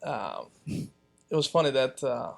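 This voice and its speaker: A man from Palestine whose first language is Romanian, telling his story as a guest in relaxed casual chat, often chuckling and repeating words. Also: mid-range voice, slow, creaky.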